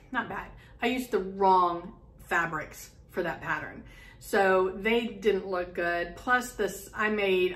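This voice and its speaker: A woman's voice: medium pitch, a bit bright. A middle-aged woman in her home sewing room chatting to camera, rambling with many ums and restarts. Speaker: sewing vlogger. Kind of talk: casual chat to camera. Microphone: camera in front, medium close framing.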